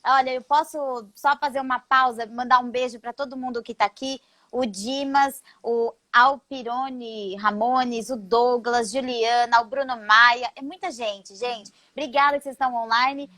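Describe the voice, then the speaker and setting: A woman's voice: fairly high pitch, steady tone, normal speed, hardly any pauses, sharp tone, dry recording. A Brazilian woman hosting a live interview stream, chatty and laughing, greeting viewers by name. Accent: Brazilian Portuguese. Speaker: live show host.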